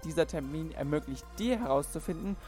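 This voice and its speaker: A man's voice: on the high side, expressive, darkish tone. Upbeat man reading an outro over music. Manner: punchy, salesy, quick. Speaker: podcast outro announcer.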